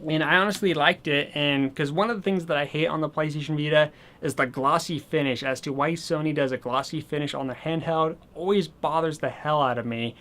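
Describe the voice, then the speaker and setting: A man casually showing off his new video games and accessories, chatty and rambling, slightly hoarse as he says he is losing his voice. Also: fairly high pitch, fairly even intonation, fairly quick, a bit bright, a little hiss or hum. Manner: chatty and rambling, with many fillers. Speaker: gaming channel host. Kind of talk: casual video game haul vlog.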